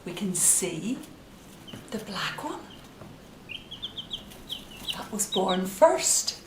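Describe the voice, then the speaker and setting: A middle-aged woman storyteller narrating simply and gently for young children, in a sing-song, deliberate way. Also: medium pitch, big pitch swings. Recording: roomy, noisy, distant microphone.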